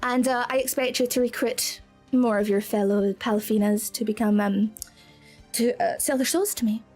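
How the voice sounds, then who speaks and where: A woman's voice: on the high side, normal speed, a little mellow, faint music behind. A woman with a Scottish accent live-streaming from home, bubbly and giggly, gushing thanks to her viewers. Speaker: VTuber streamer. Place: indoor home streaming setup.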